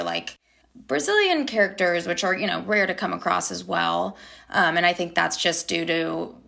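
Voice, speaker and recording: Gravelly, bright-toned young woman. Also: lowish voice. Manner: chatty, with many fillers and soft laughs. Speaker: actor guest. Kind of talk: interview answers, casual and reflective.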